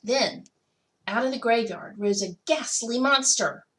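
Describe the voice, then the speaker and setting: A woman's voice: fairly high pitch, unhurried, a bit bright. A woman reading a children's picture book aloud expressively, stretching out key words for emphasis. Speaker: school technology coordinator reading to children.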